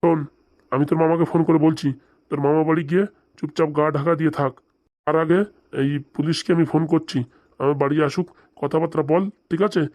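A man acts out a stern comic cartoon father with a fairly high male voice and very little room sound. He talks fast and hectors with one pointed question after another. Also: darkish tone, no room sound, natural voice. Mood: stern, exasperated. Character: angry scolding father of a grown son.

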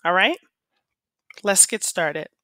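A woman teacher reading aloud to students with an expressive, deliberate storytelling delivery. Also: fairly low pitch, dry recording. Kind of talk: expressive read-aloud of children's poems and class instructions.

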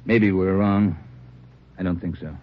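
An actor playing an authoritative American police chief in an old radio drama, talking in clipped phrases on a muffled recording. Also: male voice, low voice, steady tone, a little slow. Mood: grim, authoritative. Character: gruff, commanding male police chief. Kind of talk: 1950s radio drama acting.

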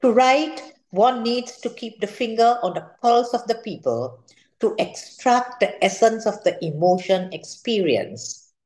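A woman's voice: on the high side, expressive, measured pace, a little mellow. An older woman with a Trinidadian accent, reading a prepared literary speech in a formal, careful way. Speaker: author giving a literary talk. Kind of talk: prepared speech read aloud. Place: indoor room at home, seated at a table.

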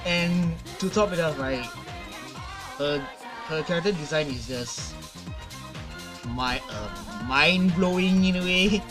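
A young adult man giving casual, unscripted reaction commentary, often halting and repeating words, on a headset mic in a room at home. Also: on the high side, music in the background. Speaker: reaction video host. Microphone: gaming headset mic.

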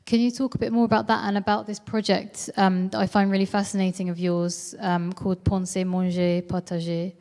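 A woman's voice: medium pitch, little pitch movement, fairly quick, few pauses, a little mellow. A woman hosting a stage interview into a handheld mic, friendly and a little hesitant, with many ums. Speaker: interview host. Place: large indoor event space at a museum, on stage. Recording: long hall reverb.

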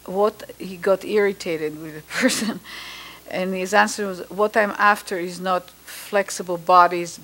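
A woman's voice: lowish voice, fairly bright tone, rough recording. A woman in the audience of a meeting room telling an anecdote in a conversational way, with hesitations. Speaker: audience member.

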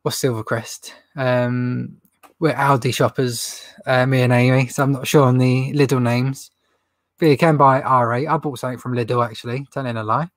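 A man's voice: medium pitch, steady tone, normal speed, no echo. A young man with a runny nose hosting a casual livestream Q&A from a stock room, rambling chattily and laughing at his own jokes. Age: young adult. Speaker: reseller livestream host. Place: indoor stock room with shelves.

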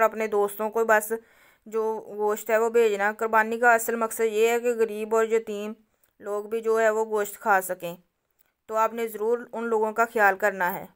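A woman, narrating a home cooking recipe step by step and speaking directly to her viewers in a calm, instructive way. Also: a little high, smooth. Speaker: cooking channel host.

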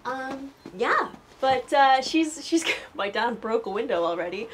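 A woman's voice: high voice, lively intonation, a little slow, a little hiss or hum. A young woman from Canada giving a chatty, upbeat walking tour of her snowy backyard garden, talking into a lapel mic outdoors and laughing often. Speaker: garden vlogger.

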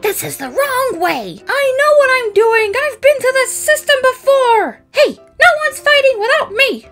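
A woman voicing squabbling, hot-headed little alien soldiers with a shouty, childish, bossy manner in a cartoon. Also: very high voice, sing-song, unhurried, over music, full character voice. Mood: combative.

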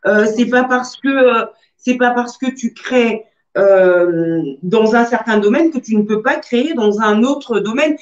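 Measured woman with many fillers, muffled video call. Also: a little high. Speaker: guest entrepreneur. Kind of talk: casual interview answers. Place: room at home, indoors. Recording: dull lo-fi video-call audio.

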